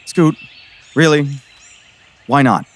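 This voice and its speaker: A man's voice: a little high, takes it slowly. A man playing an impatient but brave small-town sheriff in an audio drama. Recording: faint outdoor insect and bird noise.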